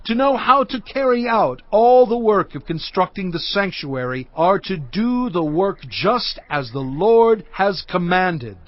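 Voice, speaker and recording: A man playing a solemn, commanding prophet who addresses his people in declamatory, measured scripture recitation. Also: sits high, big pitch swings, dry recording. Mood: proclaiming. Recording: dry, slightly dull studio recording.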